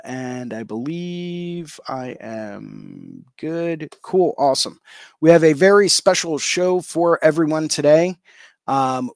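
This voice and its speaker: A man's voice: fairly high pitch, dry recording. Upbeat male show host, dry sound. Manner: upbeat, peppered with ums and uhs. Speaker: podcast host. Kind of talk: casual interview show with sponsor reads.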